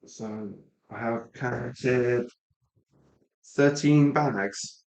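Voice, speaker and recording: A man's voice: mid-range voice, drawn-out words, darkish tone, roomy sound, rough recording. A middle-aged man with an English Midlands accent, chatting casually and rambling with asides to viewers. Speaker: live-stream Lego builder. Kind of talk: casual live-stream chat while building.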